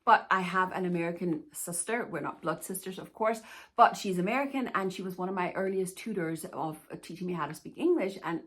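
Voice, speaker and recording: A woman's voice: fairly low pitch, a little fast, a bit bright, slightly rough. Chatty Danish-born woman vlogger. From Denmark. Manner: chatty and reassuring, often says "to be honest with you". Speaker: plus-size fashion stylist and YouTuber. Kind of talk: casual Q&A vlog chat.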